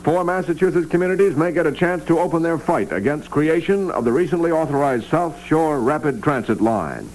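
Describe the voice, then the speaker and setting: A man's voice: a little high, expressive, brisk, hardly any pauses, a little mellow, poor audio. A man reading scripted news as a radio newscaster on a 1960s AM broadcast. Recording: vintage AM radio, faint hum.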